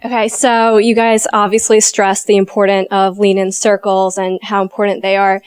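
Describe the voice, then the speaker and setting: Student woman, flat even tone, clear voice, asks straight out. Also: mid-range voice, moderate pace, no echo. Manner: direct, questioning. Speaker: student asking a question.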